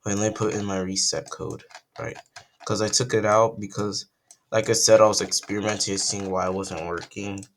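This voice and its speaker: A young man, croaky from just waking up, talks through a casual coding tutorial at his computer, thinking aloud and correcting himself as he goes. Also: fairly low pitch, fairly even intonation, moderate pace, fairly bright tone, slight background noise.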